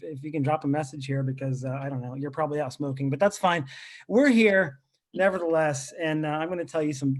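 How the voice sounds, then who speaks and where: A man in his thirties, born in Lebanon, doing a self-deprecating stand-up set over a video call from a rented apartment. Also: fairly high pitch. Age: 30s. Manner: deadpan, self-deprecating, chatty asides. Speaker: stand-up comedian.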